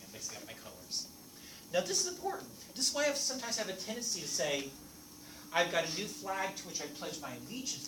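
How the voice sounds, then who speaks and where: A man whose first language is French teaches a math lecture, and his pitch swings up and down as he quizzes the class. He is recorded from a distance in an echoey classroom. Steady noise runs under his voice. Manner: lively, playful teacher asking the class questions. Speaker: math instructor.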